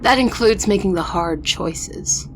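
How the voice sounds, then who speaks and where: A woman's voice: mid-range voice, a little hiss or hum, close to an everyday voice. A woman playing an arrogant, ruthless vampire princess in an audio roleplay, speaking in a haughty, threatening way. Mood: cold, threatening. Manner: haughty, threatening, slow deliberate delivery. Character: arrogant vampire princess, ruthless eldest sister, war-hungry.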